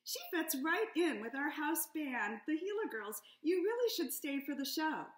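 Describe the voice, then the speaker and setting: A woman's voice: high-pitched, very animated, moderate pace, roomy sound, pitched above the performer's own voice. A woman doing a chirpy salamander waitress. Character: friendly young female salamander waitress.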